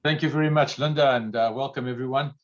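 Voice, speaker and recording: A man's voice: medium pitch, fairly even intonation, quick pace. A man with a big voice moderating a panel in a semi-formal way, heard through dull online call audio.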